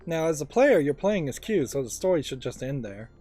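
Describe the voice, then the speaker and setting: A man's voice: fairly high pitch, big pitch swings, fairly quick, mellow, faint music behind. A man giving let's play commentary, thinking aloud in a musing, tentative way.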